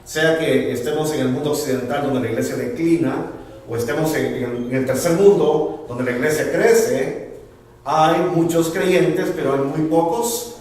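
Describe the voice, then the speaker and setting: A man's voice: a little high, measured pace, darkish tone, slight background noise. A man from Honduras with a Honduran accent, preaching a bilingual sermon and repeating words as he looks for them. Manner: switches between Spanish and English, repeats words while searching for them. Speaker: pastor. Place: church sanctuary. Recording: echoey church hall from far off.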